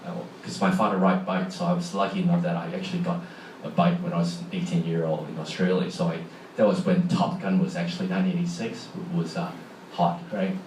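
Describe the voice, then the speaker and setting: A man's voice: low-pitched, little pitch movement, fairly quick, few pauses, a little mellow, slight background noise. A middle-aged man with a Hong Kong accent, giving a chatty public talk and asking the audience questions. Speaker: speaker. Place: large hall. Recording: echoey hall from far off, faint ambience.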